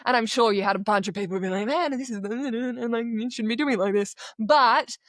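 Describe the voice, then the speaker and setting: A woman's voice: a little high, a little fast, fairly bright tone, no echo, studio quality. A woman hosting a podcast, asking loose, supportive questions with lots of fillers. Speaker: podcast host. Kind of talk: casual interview questions.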